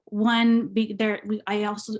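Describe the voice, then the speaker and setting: A young adult panelist with a feminine-sounding voice of medium pitch, who grew up with Spanish as a first language. They talk personally and reflectively, pausing to search for the right words. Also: little pitch movement. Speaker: panelist, university graduate.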